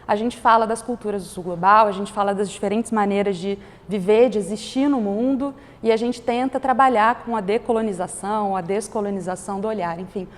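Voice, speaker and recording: A woman's voice: medium pitch, steady tone, brisk, few pauses. Articulate woman in a hall. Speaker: guest, director of a cultural organization. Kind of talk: panel talk. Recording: hall reverb.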